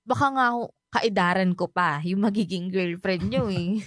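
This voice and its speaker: A woman's voice: mid-range voice, normal speed, no room sound, studio quality, natural voice. An actress playing a cheerful, polite young Filipino woman in a radio drama, chatty and respectful toward an older family friend. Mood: teasing, playful. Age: young adult.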